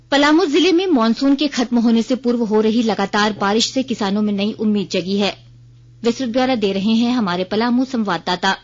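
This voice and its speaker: A woman reading a scripted radio news bulletin in a formal, measured way. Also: a little high, lo-fi sound.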